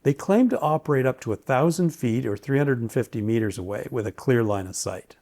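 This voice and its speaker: A man's voice: mid-range voice, lively intonation, a little fast, a little mellow. A man giving a casual, opinionated, lightly joking product review. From Canada. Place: indoors, home study.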